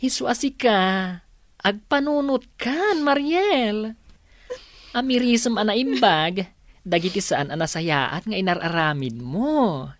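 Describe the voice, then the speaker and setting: Slow, expressive female confidante. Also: mid-range voice, dry recording. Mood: consoling, earnest. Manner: earnest, consoling, measured. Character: caring older female confidante, gently advising. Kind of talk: radio drama acting.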